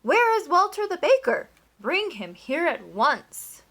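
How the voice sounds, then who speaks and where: A woman's voice: high-pitched, big pitch swings, slow, a bit bright, pitched above the performer's own voice, heavily acted. A woman reading a storybook aloud, voicing a hot-tempered male duke who roars his commands. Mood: furious. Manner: roaring and commanding.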